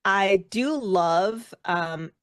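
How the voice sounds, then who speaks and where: A woman's voice: medium pitch, extremely slow, fairly bright tone, no room sound, clean studio sound. A woman chatting casually as an interview guest, effusive and informal in the way she talks. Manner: effusive, informal, emphatic about loving the work. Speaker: guest, actor and former rock singer.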